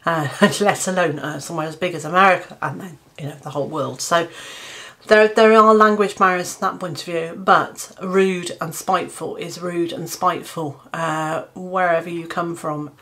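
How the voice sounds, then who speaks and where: A woman's voice: lowish voice, fairly even intonation, a bit bright. Earnest British woman in her fifties. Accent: British English. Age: 50s. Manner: earnest, measured, with hesitant restarts and fillers. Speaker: gardening channel host. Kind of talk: opinion piece to camera.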